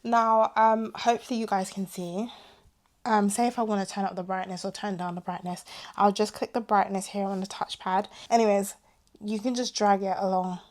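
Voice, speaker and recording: This is a young woman, talking to camera in a loose, chatty way. She is animated and wanders off into little asides. Her voice is worn and a touch hoarse. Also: medium pitch, moderate pace. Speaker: vlogger reviewing her tech purchases. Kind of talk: casual chat to camera.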